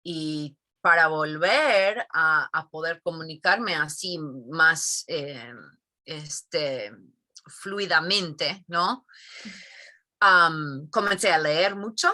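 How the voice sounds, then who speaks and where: A middle-aged woman teacher answers interview questions at an unhurried pace. She thinks aloud with fillers and frequent tag questions. Her voice sits fairly low but sounds crisp and bright. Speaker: Spanish teacher.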